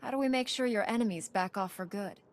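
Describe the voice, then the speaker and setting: A woman's voice: mid-range voice, quick pace, lightly acted. An actress playing a principled female knight in a video game, composed and direct. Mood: composed, firm.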